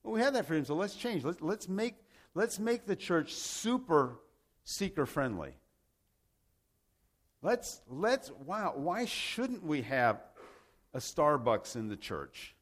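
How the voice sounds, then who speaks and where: A man's voice: a little high, sing-song, takes it slowly. A man from Rome, Italy, preaching a sermon in an emphatic, conversational way, with rhetorical questions and repeated phrases. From grew up in Rome, Italy, to American parents. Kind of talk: sermon with scripture and personal anecdotes.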